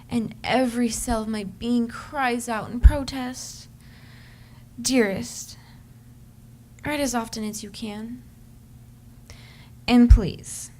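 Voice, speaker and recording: Yearning, adoring wife, played by a woman, unhurried and ardent, creaky edge, stage reading with slight background noise. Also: mid-range voice, darkish tone. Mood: pleading, tender. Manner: ardent, measured, with stumbles in reading. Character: yearning, adoring pregnant wife writing to her husband. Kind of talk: dramatic reading of a love letter on stage.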